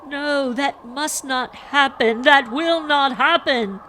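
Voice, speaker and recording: A woman's voice: mid-range voice, huge pitch swings, very slow, gravelly, a little hiss or hum, voice pushed up, exaggerated voice. A woman voicing a stern, miserly father in a story, overwrought, bellowing and growling. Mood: distraught.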